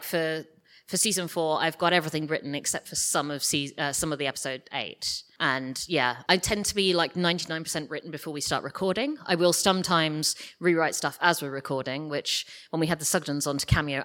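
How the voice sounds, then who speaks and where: A woman with a low, bright voice, talking as a guest at a live interview. She explains her working methods informally, in loose, meandering sentences. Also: fairly quick. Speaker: guest, audio drama writer. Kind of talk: live interview, casual chat. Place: live podcast festival stage.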